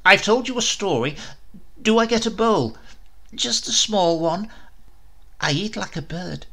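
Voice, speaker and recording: A boastful talking parrot blusters and bluffs through a comic storybook tale, as a man voices him. The voice is bright and lively, swooping up and down, with a gravelly edge. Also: high voice, measured pace, pitched above the performer's own voice, exaggerated voice. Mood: chatty.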